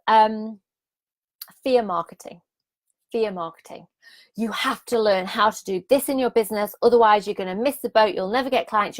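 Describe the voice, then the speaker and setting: A woman in her thirties, a bit croaky, hosting a live-streamed business coaching challenge, enthusiastic and repetitive, asking viewers to comment and laughing often. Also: mid-range voice.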